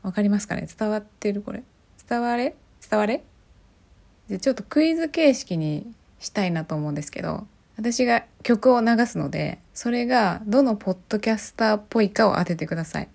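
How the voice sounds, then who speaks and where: A woman's voice: mid-range voice, expressive, a little fast, vocal fry, darkish tone. A woman hosting a podcast on her own, chatting casually and often putting questions to her listeners. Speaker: podcast host. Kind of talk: casual solo podcast chat.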